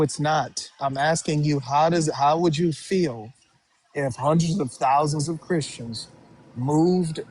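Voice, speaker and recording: A man's voice: on the high side, poor audio. A man hosting a livestream debate, combative and interrupting, calling the other side "dude" and "bro". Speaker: livestream host. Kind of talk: heated debate.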